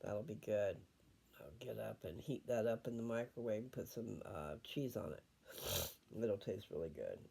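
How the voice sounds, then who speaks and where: An older man chatting casually and reading scripture at home, unhurried and wandering as he thinks aloud. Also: on the low side, lively intonation, gravelly, dark tone, husky.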